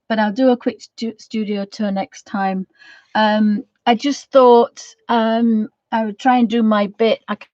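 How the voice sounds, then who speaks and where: A woman with a croaky voice from a cold, chatting casually as she gives a painting tutorial and rambling with many ums. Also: medium pitch, a little mellow, no echo. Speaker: art channel host. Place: home studio. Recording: dry, slightly dull sound.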